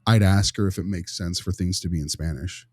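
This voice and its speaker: A man's voice: deep voice, a little fast, a little mellow, no echo, studio quality. A man speaking casually and colloquially as a podcast panelist, with a wry, wordy way of talking. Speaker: podcast panelist, behavior specialist. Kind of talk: casual discussion and case role-play.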